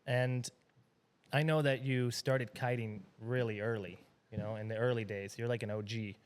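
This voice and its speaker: A man's voice: mid-range voice, steady tone, normal speed. A man hosting a podcast, asking questions in a casual, friendly, conversational way. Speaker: podcast host. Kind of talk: casual interview.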